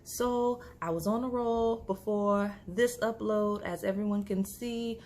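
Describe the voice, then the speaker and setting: A woman, slightly hoarse, chatting casually through a tarot reading to camera, with lots of fillers and restarts. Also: mid-range voice, fairly even intonation, unhurried, darkish tone, a little hiss or hum. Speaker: tarot reader.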